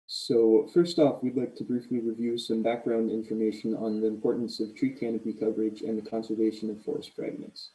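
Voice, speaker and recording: A young man presents his project over a video call in a very dark, mellow voice. He is measured and hesitant, and his delivery stays flat. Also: fairly low pitch, low-quality recording. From Massachusetts. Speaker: graduate student presenter. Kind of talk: reading a prepared presentation.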